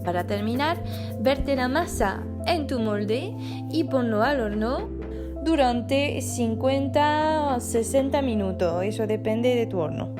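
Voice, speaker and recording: A woman with a French accent reads out ingredients and steps in an even, instructive voice-over. Her voice sits on the higher side, and clear music plays beneath her. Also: slow pace. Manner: steady step-by-step instructions. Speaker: baking vlogger. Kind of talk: recipe voice-over narration.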